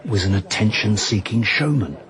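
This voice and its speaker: Male actor as middle-aged amateur sleuth, British accent, lowish voice, dry wit, boozy, self-deprecating, naturalistic radio drama. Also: animated, moderate pace, crowd noise, natural voice. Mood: defensive, earnest.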